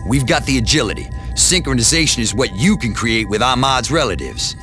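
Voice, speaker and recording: An actor playing a gruff male commanding officer who gives orders in a clipped, commanding military briefing style. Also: medium pitch, very animated, fairly quick, close to an everyday voice. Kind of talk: scripted game cutscene briefing. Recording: loud music behind the voice.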